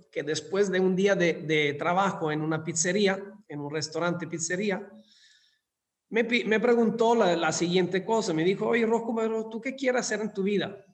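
A fifty-year-old man from southern Italy with an Italian accent, telling his story as an interview guest in Spanish as a second language, courteous and often repeating words. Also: sits high. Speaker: interview guest, hotel managing director. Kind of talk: conversational personal storytelling. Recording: long hall-like reverb.

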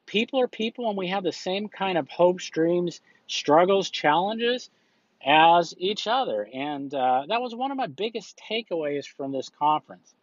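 A man's voice: a little high, no room sound, muffled recording. A man hosting a podcast, telling a conference story in a casual monologue full of fillers and restarts. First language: English. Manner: rambling, frequent fillers and restarts. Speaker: podcast host.

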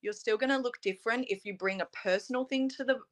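A woman's voice: fairly high pitch, quick pace. A young woman from Australia, a guest in a casual interview, talking in an earnest, chatty way full of "you know" and "um". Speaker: interview guest, pageant titleholder.

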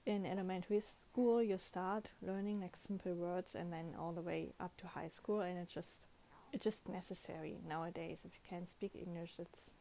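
A woman's voice: on the low side, fairly even intonation, moderate pace, gravelly, warm tone, rough recording. A young woman with a German accent, speaking English as a non-native speaker and chatting casually on her podcast, often restarting her sentences. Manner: chatty, self-correcting, with frequent restarts. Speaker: podcast host. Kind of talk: casual monologue.

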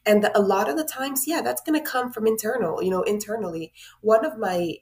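A woman's voice: fairly high pitch, a little fast, darkish tone. A woman from Honduras who works as a life coach, speaking earnestly as a podcast guest. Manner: earnest, reflective, encouraging. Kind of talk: casual podcast interview.

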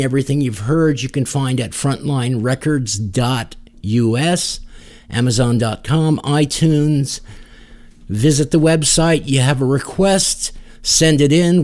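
A man's voice: mid-range voice, darkish tone. A man hosting a music podcast with casual chat and jokey, dry banter. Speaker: podcast host.

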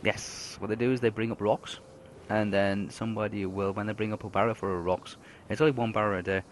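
A man's voice: on the low side, fairly even intonation, brisk, a little hiss or hum. A man narrating as game master, describing a fantasy world in a rambling way with many fillers and restarts. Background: music.

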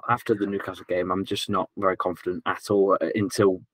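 Bright, dry-voiced man as a rueful football fan. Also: sits low, flat delivery, quick pace, no echo. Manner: self-deprecating, laughs often, rueful. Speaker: podcast guest, football fan. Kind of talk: casual football podcast chat.

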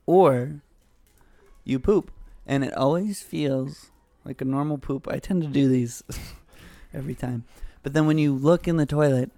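A man's voice: mid-range voice, measured pace, long pauses, dark tone, no room sound. A man chatting casually and jokily as he hosts, posing silly hypothetical questions. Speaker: host posing would-you-rather questions. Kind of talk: casual joking chat.

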